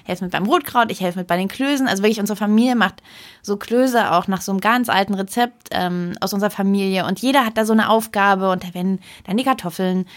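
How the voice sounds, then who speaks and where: A young woman co-hosting a podcast, chatting casually in an animated, emphatic, rambling way. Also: mid-range voice, fast. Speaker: podcast co-host.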